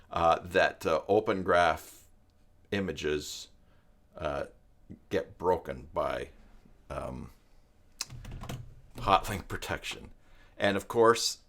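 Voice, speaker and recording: A man with a fairly low voice presents an unscripted tutorial to viewers. He explains step by step, haltingly, as if working it out while he talks, in the informal way of a live stream host. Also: a bit bright. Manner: explanatory, halting, thinking aloud.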